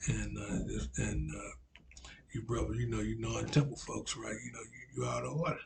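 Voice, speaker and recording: A man in his forties with a raspy voice, a religious teacher giving a rambling monologue that repeats itself and is filled with "you know.". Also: on the low side, steady tone, moderate pace, a little hiss or hum. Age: 40s. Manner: repetitive, frequent "you know" fillers, lecturing.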